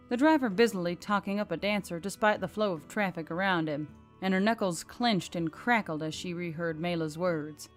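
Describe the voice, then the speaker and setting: A woman reading scripted fantasy fiction as an audiobook narrator. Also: fairly low pitch. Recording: background music under the reading. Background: music.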